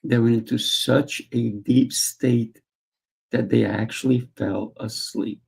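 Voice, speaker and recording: A man who teaches martial arts, speaking in a calm, soft guiding way as a podcast guest. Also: medium pitch, takes it slowly, vocal fry, breathy edge. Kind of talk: conversational interview talk.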